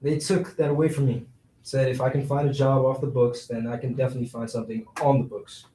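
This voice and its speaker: A man's voice: mid-range voice, little pitch movement, fast, dark tone, slight background noise, close to an everyday voice. A man in a staged play reading playing a cheeky, joking man who is out of work. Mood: dry, teasing. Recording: echoey room, far from mic.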